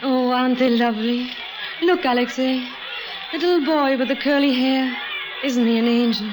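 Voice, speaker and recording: A woman's voice: sits high, moderate pace, natural voice, rough recording. An actress playing a romantic, anxious aristocratic woman and devoted mother in an old-time radio drama, tender and intense. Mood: fond. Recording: old radio broadcast, music behind.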